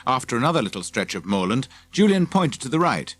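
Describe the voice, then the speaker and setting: A man's voice: mid-range voice, big pitch swings, a little fast, a bit bright, a little hiss or hum. A man reading scripted children's story narration as an audiobook narrator.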